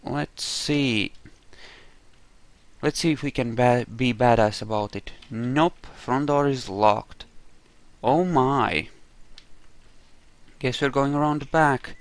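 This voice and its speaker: A man's voice: mid-range voice, takes it slowly, long pauses, no room sound. Man rambling through casual gameplay commentary. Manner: rambling, thinking aloud. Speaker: gamer narrating his own roleplay playthrough. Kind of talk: casual let's play commentary.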